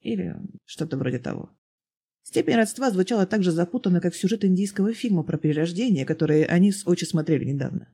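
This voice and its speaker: Storybook narrator, mid-pitched, dark mellow tone with some huskiness. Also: lively intonation, quick pace, breathy edge. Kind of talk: scripted narration of fan fiction audiobook.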